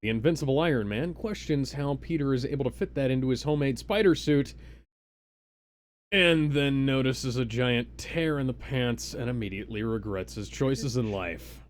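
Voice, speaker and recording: A man's voice: medium pitch, moderate pace. A man reading a comic script theatrically on a live stream, sometimes breaking off into banter. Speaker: co-reader on a live comedy stream.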